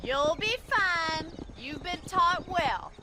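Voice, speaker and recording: An actress playing a spirited, teasing young rancher, wry and plucky, in a video game cutscene. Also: female voice, extremely high pitch, expressive, takes it slowly, background noise, heavily acted.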